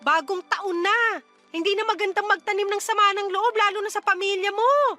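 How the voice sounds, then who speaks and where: A woman's voice: very high-pitched, normal speed, pushed and bright. A woman playing a callous, scornful mother in a radio drama, scolding and sarcastic as she sides with her lover. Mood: defensive, dismissive. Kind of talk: Tagalog radio drama acting. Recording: dramatic music under the dialogue.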